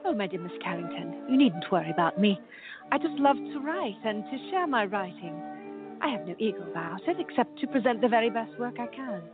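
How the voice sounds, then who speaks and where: A woman's voice: medium pitch, animated, brisk, narrow, tinny audio, over music, natural voice. A woman playing a gracious Regency lady novelist in a radio play, polite and formally mannered. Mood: warm, reassuring.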